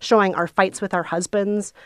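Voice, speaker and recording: Podcast woman being interviewed, mid pitch, frank n earnest, casual chat, dry sound. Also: fairly even intonation, moderate pace, a bit bright, smooth, no echo. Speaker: podcast co-host, interview guest.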